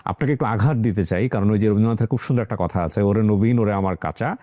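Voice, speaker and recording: A Bangladeshi man hosting an interview show at a brisk pace. His voice is mellow and relaxed, and he slips English phrases into questions and asides to listeners. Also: lowish voice. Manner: mixes English phrases into speech. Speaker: talk show host. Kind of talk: conversational interview with asides to the audience.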